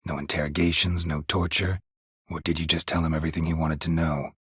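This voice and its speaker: A man voicing a gruff, stoic, dry-witted monster hunter in a video game, in a husky rasp with a terse, deadpan manner. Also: a bass voice, fairly quick, no echo, heavily acted. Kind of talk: video game character dialogue.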